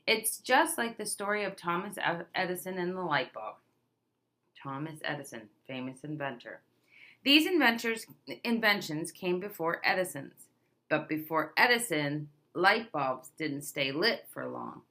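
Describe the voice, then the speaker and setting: A woman's voice: lowish voice, very animated, unhurried, pushed and bright. A woman reading a children's picture book aloud, expressively, stressing key words as a storyteller does for young listeners. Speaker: read-aloud presenter.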